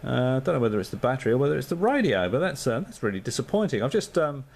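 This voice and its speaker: A man's voice: medium pitch, big pitch swings, rapid-fire, vocal fry, darkish tone. A man on amateur radio, chatting casually and thinking aloud with lots of fillers. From Australia.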